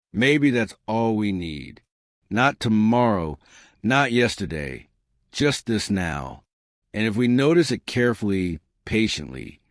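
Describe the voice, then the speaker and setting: A man guiding a meditation, speaking softly and gently in short phrases with pauses. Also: lowish voice, no room sound, studio quality. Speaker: meditation guide. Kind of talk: guided meditation narration.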